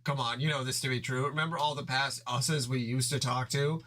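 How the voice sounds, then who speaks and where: A man voicing an angry, foul-mouthed young male troll who yells crude insults. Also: medium pitch, fairly even intonation, brisk, lightly acted. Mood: furious.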